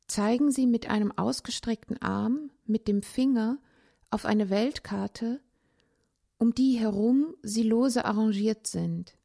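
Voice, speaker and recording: A woman reading a literary essay aloud in a measured, contemplative way, with pauses between phrases. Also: fairly high pitch, vocal fry, dark tone. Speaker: narrator, language teacher.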